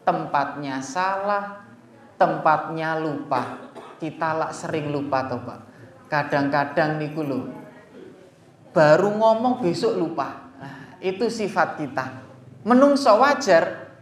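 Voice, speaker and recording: Slow-paced Javanese-accented male preacher, echoey. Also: on the high side, lively intonation. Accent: Javanese Indonesian. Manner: addresses listeners directly, with rhetorical questions. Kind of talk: religious sermon to a gathering. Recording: echoey space, faint background noise.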